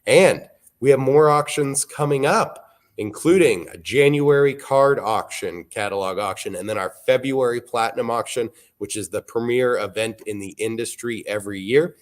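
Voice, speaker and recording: A man, hosting a live auction broadcast in a relaxed, wry, informative way. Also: medium pitch, moderate pace. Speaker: auction show host.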